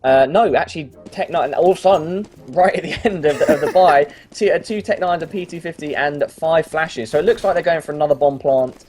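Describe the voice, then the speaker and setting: A man's voice: fairly high pitch, a little fast, few pauses. Chatty young man casting esports. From London. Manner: chatty, jokey, excitable on big plays. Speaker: amateur game caster. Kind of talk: casual esports commentary.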